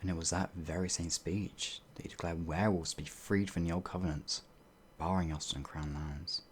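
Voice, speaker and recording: An actor playing a bewildered, humble werewolf outlaw in an ASMR fantasy roleplay, with halting, old-fashioned speech and a husky voice. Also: low-pitched, steady tone, a little hiss or hum, close to an everyday voice. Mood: earnest reminiscing. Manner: halting, flustered, pleading, old-fashioned wording.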